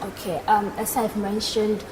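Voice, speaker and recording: Young South African woman, clear background noise. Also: medium pitch, level tone, measured pace. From South Africa, Northern Cape. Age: 20s. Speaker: interview guest, aircraft maintenance engineer. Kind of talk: interview answers, explanatory. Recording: noticeable background noise at live event.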